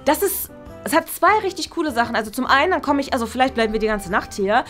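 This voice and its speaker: Woman as keen student journalist, high voice, stumbling enthusiasm, children's skit. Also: expressive, quick pace, fairly bright tone, soft background music. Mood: excited, self-important. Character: eager, ambitious schoolgirl aspiring to be a journalist. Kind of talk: children's comedy skit acting. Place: small classroom.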